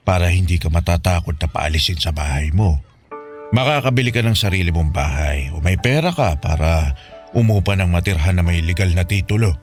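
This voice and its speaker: An actor playing an ailing, poor but proud father in Filipino radio drama style, weary, patient and reassuring. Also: deep voice.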